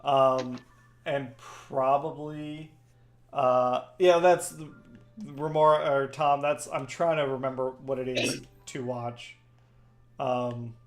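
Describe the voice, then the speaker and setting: A man whose first language is Farsi, hosting a game stream and chatting casually with viewers by name. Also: medium pitch. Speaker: streamer and host. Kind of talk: casual chat while gaming.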